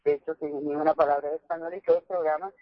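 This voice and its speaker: A woman, an English speaker, giving earnest conversational advice as a radio caller, halting and repeating words for emphasis. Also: low-pitched, fairly even intonation, no room sound. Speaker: phone-in caller, English teacher. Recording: muffled telephone line on radio.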